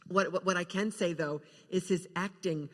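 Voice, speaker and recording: An older woman shares film memories as a panel guest. She speaks haltingly, often doubling back on words as she builds an anecdote. Her voice rings in a large, reverberant hall. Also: lowish voice, fairly even intonation. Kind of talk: panel reminiscence, anecdotal storytelling. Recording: echoey hall with long reverb.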